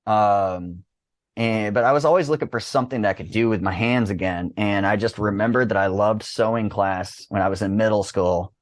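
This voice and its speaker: A man in his thirties chatting casually and excitedly as a podcast guest, rambling with lots of slang and swearing. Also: lowish voice, normal speed, no room sound, clean studio sound. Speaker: podcast guest, disc golf business owner.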